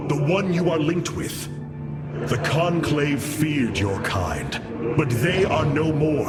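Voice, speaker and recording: An actor playing a noble, resolute alien leader in a video game, stately, formal and commanding. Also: male voice, a little high.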